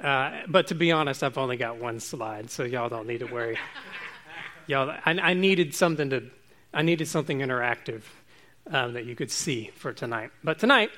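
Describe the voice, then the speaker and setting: A man's voice: medium pitch, moderate pace. Man, preacher, informal sermon, talkative, light humor. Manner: relaxed, chatty, self-joking with restarts.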